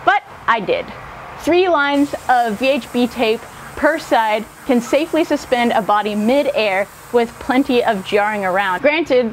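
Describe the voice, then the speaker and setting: A woman in her thirties narrates a workshop vlog in a fairly high voice. Her delivery is upbeat and addressed straight to viewers. There is some noise behind her. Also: poor audio. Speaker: host of a DIY workshop channel.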